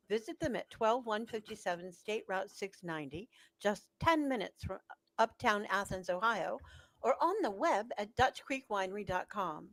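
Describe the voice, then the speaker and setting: A woman's voice: mid-range voice, rough recording. A woman announcer reading scripted narration and sponsor copy for a radio drama.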